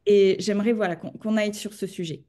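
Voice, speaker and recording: A woman's voice: mid-range voice, steady tone, fairly quick, mellow. A woman hosting a wellness podcast interview, chatty and enthusiastic in her questions. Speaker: podcast host.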